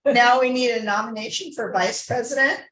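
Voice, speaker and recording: A woman's voice: a little high, normal speed, fairly bright tone, a little hiss or hum. A woman chairing a formal board meeting, moving through procedure with conversational, informal asides. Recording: echoey room recording.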